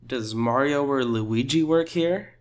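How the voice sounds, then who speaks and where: A man playing a blunt, skeptical, excitable pizza customer in comic roleplay, with an incredulous and theatrical delivery. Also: medium pitch, slow pace, natural voice.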